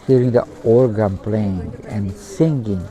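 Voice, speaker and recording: A man in his seventies, born in Macedonia and raised in Zagreb, tells his personal story in halting, simple non-native English. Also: on the low side, dark tone. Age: 70s. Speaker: interviewee. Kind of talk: personal testimony in conversation. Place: outdoors at a pilgrimage site.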